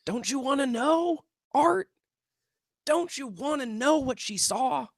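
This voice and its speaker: Someone reading earnest young investigator guy, super animated, placating, dry close sound. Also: high voice, slow, gravelly, dry recording, heavily acted. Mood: pleading, persuasive. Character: earnest young male amateur paranormal investigator, persuasive and placating. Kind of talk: script table read.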